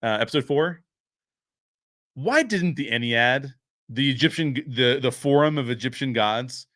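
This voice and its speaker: A man's voice: mid-range voice, lively intonation, moderate pace, a bit bright, no echo, studio quality. A man hosting a review show, giving casual, opinionated commentary in an explanatory way, stressing key phrases. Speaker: host of a pop-culture review show.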